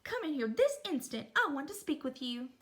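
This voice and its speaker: A woman's voice: high voice, sing-song, moderate pace. A woman voicing a stern, exasperated mother squirrel who fusses and scolds in a storybook. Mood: stern scolding. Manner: stern, fussing.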